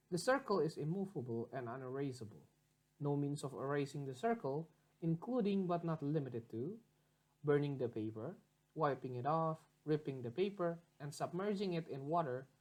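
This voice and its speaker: A man narrating a scripted investigation log in a formal, clinical, measured manner. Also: on the high side. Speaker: narrator reading a case report.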